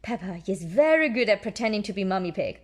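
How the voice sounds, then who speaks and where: A woman narrating a children's storybook aloud as a storyteller, in an animated read-aloud style. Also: mid-range voice, fast.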